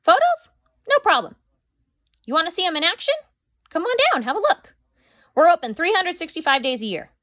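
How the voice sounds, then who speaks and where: A woman teacher voicing a brash, boastful male mall owner during a read-aloud, loud and salesy. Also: extremely high pitch, big pitch swings, fairly quick, pauses often, no echo, studio quality, voice pushed up, full character voice.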